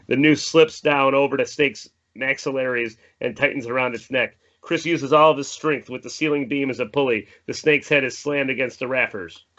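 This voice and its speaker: Male narrator: mid-pitched, descriptive, dramatic screenplay reading, lo-fi sound. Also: fairly bright tone. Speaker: stage directions reader. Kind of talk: scripted narration of a screenplay table read.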